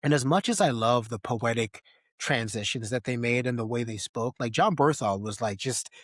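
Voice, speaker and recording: A man's voice: mid-range voice, normal speed, fairly bright tone, no echo, studio quality. A man hosting a podcast, discussing films casually and conversationally, often tagging his points with a questioning "right?". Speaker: podcast host.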